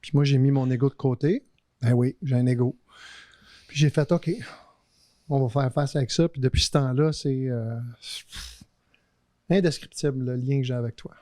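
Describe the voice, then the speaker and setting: A man's voice: mid-range voice, fairly even intonation, moderate pace, pauses often, dark tone, dry recording. A middle-aged man with a Québécois French accent, co-hosting a podcast in a reflective, questioning way. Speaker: podcast co-host. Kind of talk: casual podcast conversation.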